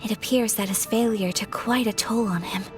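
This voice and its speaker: A woman's voice: mid-range voice, fairly even intonation, normal speed, over music, close to an everyday voice. A woman playing a poised, courteous young noblewoman in a fantasy video game, with refined, polite speech. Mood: sympathetic. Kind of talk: scripted video game voice acting.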